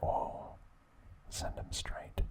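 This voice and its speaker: Whispering man in a slow ASMR narration. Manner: soft, unhurried, chatty and informal. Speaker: ASMR video host.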